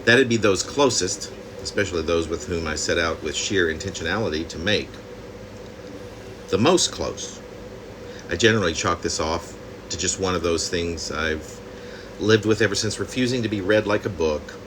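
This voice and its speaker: A man reading his own poem reflectively, in deliberate, halting phrases with pauses. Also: low voice, background noise. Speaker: poet reading own work.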